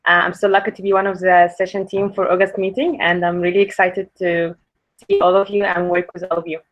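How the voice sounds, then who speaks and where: A woman's voice: on the low side, level tone, fairly quick. A woman from Egypt introducing herself and her team in an online webinar, eager and informal. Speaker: session team member presenting. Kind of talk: online presentation. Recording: dull lo-fi audio.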